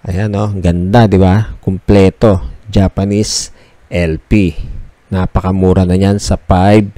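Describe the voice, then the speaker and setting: A Filipino man narrating a casual shop tour as a vlogger, talking conversationally and pitching items like a salesman. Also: little pitch movement, slow pace, warm tone, no room sound.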